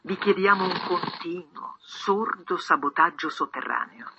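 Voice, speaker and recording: A man's voice: sits high, lively intonation, normal speed, vocal fry. Man, archival radio broadcast, muffled, faint noise, exhorting tone. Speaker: wartime radio announcer.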